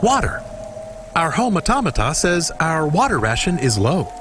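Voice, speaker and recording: A man's voice: a little high, very animated, moderate pace, background noise. A man voicing a naive, childlike AI companion in a video game, earnest, anxious and very literal. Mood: concerned. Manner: literal, innocent, simply phrased.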